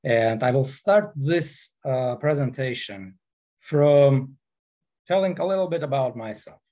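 A man in his forties with an Armenian accent talks through an online presentation in a very slow, halting way. His pitch sits in the middle range, and his speech is broken up by frequent fillers. The sound comes close and direct from a headset microphone in a small indoor room. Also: expressive, warm tone. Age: 40s. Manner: unhurried, halting, many fillers. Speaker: guest presenter.

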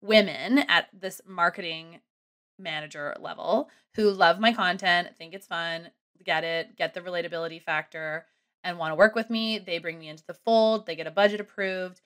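A woman in her thirties telling a long story casually on a podcast from a small home room, animated and rambling. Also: on the low side, moderate pace. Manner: animated, rambling, self-deprecating. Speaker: guest comedian and ski content creator. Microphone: studio mic close up with headphones.

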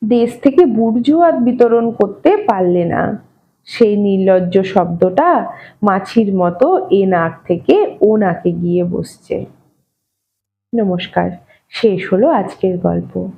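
A woman reading an audio story in an expressive, measured, wry narrating manner. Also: medium pitch, creaky, muffled recording. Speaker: story reader.

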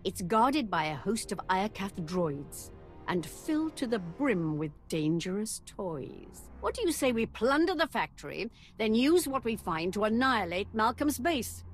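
A lively, scheming female Sith officer from a video game,. Her pitch rolls widely as she relishes war talk, with a soft music score underneath. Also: unhurried.

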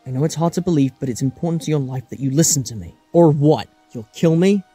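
A man's voice: a little high, fast talker, dark tone, breathy edge, dry recording, faint music behind. A man performing earnest, alarmed adventurers in a fan-made audio drama, with dramatic, emotive delivery. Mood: tense, pleading. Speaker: voice actor performing all parts.

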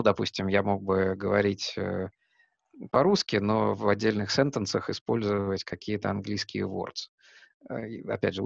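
Guy on a headset video call, low gravelly voice, lots of ums and restarts, muffled dry sound. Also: dry recording. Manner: thoughtful, many hesitations and restarts. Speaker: guest speaker, company executive. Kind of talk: online talk answering questions. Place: room with a skylight, indoors.

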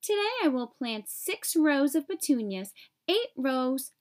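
Woman voicing a fussy, high-pitched storybook man. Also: sing-song, unhurried. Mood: eager, precise. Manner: exclamatory, precise. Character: fussy, easily exasperated man who loves even numbers. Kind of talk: storybook character voice.

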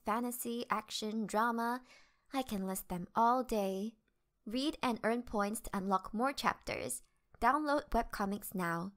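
A woman narrating a scripted sponsor message. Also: mid-range voice. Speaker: creator reading sponsor message.

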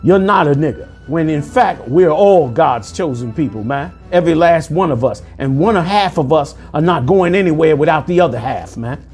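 A man in his forties preaching commentary over news clips, forceful and sermonizing, calling listeners "man", recorded indoors on a lapel mic. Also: fairly high pitch, expressive, moderate pace, hardly any pauses, soft background music. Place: indoor room.

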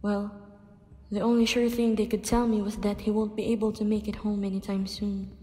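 A woman playing a gentle, humble older countryside wife in a radio drama, wistful and hesitant, in long reverb. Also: medium pitch, little pitch movement, fairly quick, lightly acted. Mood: sorrowful.

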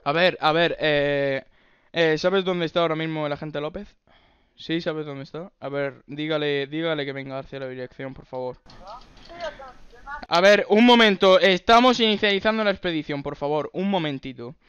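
Prank-call police officer played by a man: high, smooth voice, officious, insistent, quick to lose patience, Basque. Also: fairly bright tone, no room sound, highs cut off, lightly acted. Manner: officious, insistent, easily exasperated. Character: bossy, short-tempered Basque police officer on the phone. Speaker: prank caller. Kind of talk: improvised phone prank.

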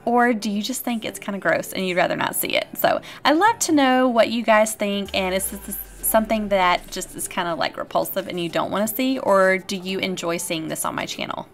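A woman's voice: medium pitch, a little fast, hardly any pauses, faint music behind. Upbeat woman narrating a cleaning vlog. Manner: upbeat and chatty, addressing viewers directly. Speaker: cleaning vlogger. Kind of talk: casual voice-over narration.

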